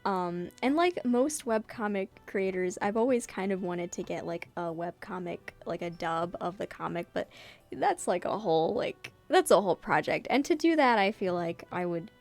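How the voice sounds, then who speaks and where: A woman's voice: fairly low pitch, expressive, normal speed, faint music behind. A woman chatting casually about her own webcomic in a giggly, rambling way, as its creator. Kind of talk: casual commentary and chat.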